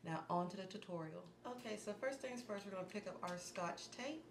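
A woman in her thirties hosting a step-by-step makeup tutorial in a small indoor room. She talks in a calm, instructive way and sounds a little hoarse, as if losing her voice. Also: mid-range voice, fairly quick. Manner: calm, instructive, softens to near murmur while working. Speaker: beauty vlogger. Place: small indoor room against a plain wall. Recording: echoey room with background hiss.

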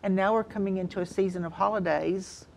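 A woman's voice: mid-range voice, steady tone, a little fast, vocal fry, a little hiss or hum, poor audio. A woman in her sixties explaining things as a counselor and guest in a studio interview. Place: TV studio, seated indoors.

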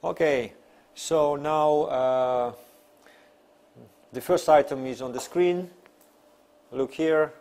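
Mellow-voiced male lecturer, non-native English speaker. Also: a little high, slow, pauses often. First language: not English. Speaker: university lecturer. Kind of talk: academic lecture, partly read from notes. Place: small classroom, seated at a desk.

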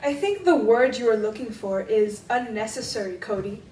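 An anxious, polite young girl played by a teenage student in a school radio drama. Her voice is high and nervous, in an echoey hall with faint background noise. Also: lively intonation, moderate pace, mellow, lightly acted. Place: indoor theatre stage. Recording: echoey, noisy hall, distant microphone.

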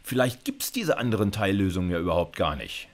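A male university lecturer in his fifties with a fairly low voice. His intonation swings widely as he explains things informally and emphatically. He talks conversationally, punctuating his points with short tag questions. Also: animated, a little fast. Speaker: university computer science lecturer.

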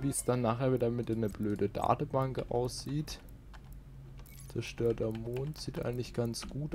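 Grumbling man, slow gravelly delivery. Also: medium pitch. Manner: mutters to himself, grumbling. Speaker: let's play host. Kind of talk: casual gameplay commentary. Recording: loud game music and effects behind. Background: video game music and sound effects.

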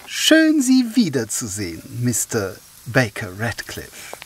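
A man acting a smug, callous wealthy gentleman in a German audio drama, with affected, mock-courteous theatrical delivery. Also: medium pitch, wildly swooping pitch, slow pace, long reverb tail, noisy background. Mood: smugly courteous.

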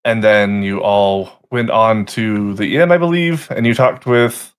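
A man's voice: fairly low pitch, measured pace, clean tone. A man in the game master's seat talks through what happened last session in a loose, rambling way. He stops often to correct himself and pick up the thread again. He speaks through a home mic on a voice call. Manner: casual, rambling recap with self-corrections. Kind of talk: recapping a tabletop game session.

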